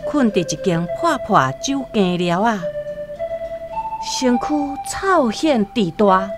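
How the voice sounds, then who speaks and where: A woman with a Taiwanese accent narrates a scripted story for radio in an expressive, deliberate, dramatic way. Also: a little high. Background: music.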